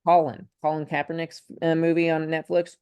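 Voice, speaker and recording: A young man from Ukraine chatting casually on a video call in a student discussion, thinking aloud in a tentative, halting, rambling way. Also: a little high, level tone, a little mellow, clear, resonant tone, no room sound.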